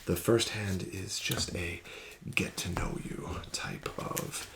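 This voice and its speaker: A man playing a casino card dealer in an ASMR roleplay, speaking softly with whispered phrases and formal, courteous, gently coaxing patter. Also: deep voice, fairly even intonation, slow pace, vocal fry, slight background noise.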